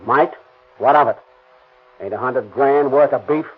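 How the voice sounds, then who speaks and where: A man's voice: medium pitch, unhurried, slightly rough. An actor playing a ruthless, bossy car thief in a 1930s radio crime drama, with slangy tough-guy talk. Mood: menacing. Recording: tinny old radio recording, faint hiss.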